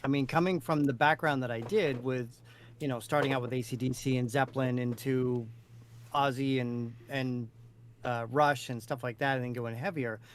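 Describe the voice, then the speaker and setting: A man's voice: mid-range voice, steady tone, normal speed, a little hiss or hum. A male co-host in a casual music chat, speaking effusively and repeating words for emphasis.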